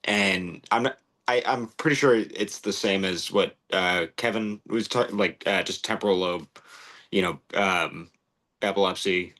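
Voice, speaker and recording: A man in his twenties speaking in casual chat, hesitant and full of pauses. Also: low voice, pushed and bright. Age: 20s. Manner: hesitant, many ums and restarts.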